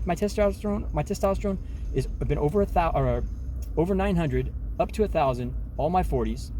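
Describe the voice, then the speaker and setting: Man in his forties, self-help vlogger, mellow voice, talks in emphatic loops with faint noise behind. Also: fairly high pitch. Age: 40s. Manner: rambling, many restarts, emphatic, says "man" often. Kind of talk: rambling casual monologue.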